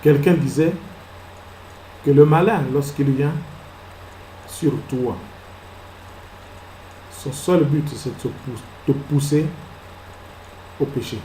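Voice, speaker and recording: A man preaching a sermon as pastor. He fires rhetorical questions, moves between soft asides and loud exclamations, and acts out little scenes. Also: on the high side, takes it slowly, long pauses, roomy sound, a little hiss or hum, rough recording. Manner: acts out little scenes and laughs at his own jokes.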